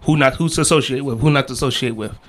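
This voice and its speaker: A man's voice: mid-range voice, racing. A man in his thirties from the D.C. area, chatting casually on a podcast, with loose slangy phrasing and many restarts. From Washington, D.C. area. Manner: relaxed, repetitive restarts, slangy. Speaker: podcast guest and co-host.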